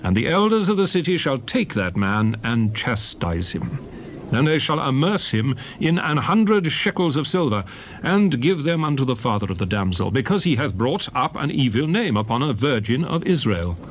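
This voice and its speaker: A man giving a solemn, declarative, dramatized reading of King James scripture in measured phrases. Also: mid-range voice, sing-song, slight background noise. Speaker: Bible reader.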